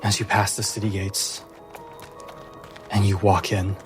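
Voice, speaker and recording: A man narrating a tabletop fantasy game as game master, in deliberate, atmospheric phrases broken by pauses. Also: lowish voice, level tone. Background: music.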